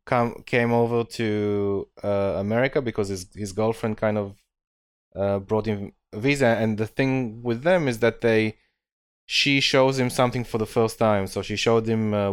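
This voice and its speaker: Male podcast host, lowish smooth voice, dry close sound, hesitant questions. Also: steady tone, unhurried, no echo. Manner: hesitant, many ums, asks questions. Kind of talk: casual interview conversation.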